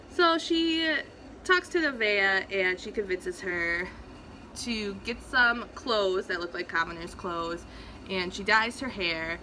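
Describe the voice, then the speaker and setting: A woman's voice: medium pitch, bright, forceful tone, slight background noise, rough recording. A woman talking casually to viewers as she recaps a book, retelling it conversationally in short, emphasized phrases. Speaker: book reviewer hosting a read-along recap.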